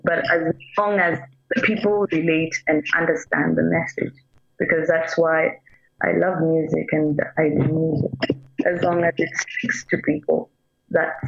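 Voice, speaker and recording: Earnest Kenyan woman, low dull voice. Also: gravelly, slightly rough. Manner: earnest, reflective, speaks in short repeated phrases. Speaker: reggae singer and interview guest. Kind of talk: casual radio interview. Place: radio call-in.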